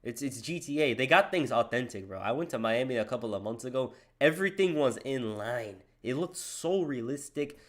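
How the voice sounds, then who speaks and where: A man's voice: medium pitch, lively intonation, moderate pace, few pauses, fairly bright tone. A man streaming live, reacting to a wrestling video and reading out donations in loose, slangy chatter. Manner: loose slangy chatter, says bro a lot.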